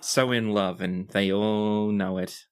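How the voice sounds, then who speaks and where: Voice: low voice, steady tone, smooth. Performer playing a flustered, defensive young prince. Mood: falsely loving. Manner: halting, flustered. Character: awkward, defensive runaway prince faking wedded bliss.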